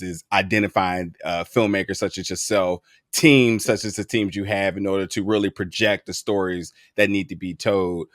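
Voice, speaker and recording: Male interviewer, low-ish voice, rambling, repeats words, dry sound. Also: dry recording. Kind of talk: casual interview questions.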